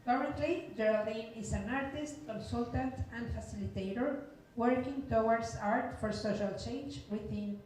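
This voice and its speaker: A woman's voice: on the high side, moderate pace, darkish tone, slight background noise. A woman moderating a book event, introducing the next speaker with frequent hesitations. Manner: hesitant, many fillers. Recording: echoey room, voice from far off.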